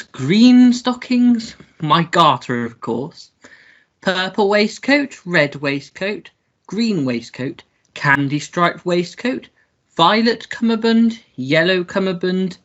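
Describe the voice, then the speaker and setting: A woman playing a foppish, childish upper-class English gentleman in a comic melodrama radio play, pompous and theatrical. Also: mid-range voice, muffled recording. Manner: pompous, theatrical, babyish gushing.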